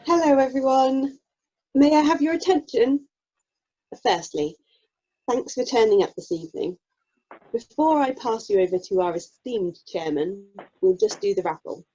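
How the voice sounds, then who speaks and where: Lady doing an officious british raffle announcer, radio play, highish husky voice, dry, dull audio. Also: lively intonation, normal speed, dark tone. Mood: officious, wry. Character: wry, officious drama society raffle announcer. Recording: dull online call audio.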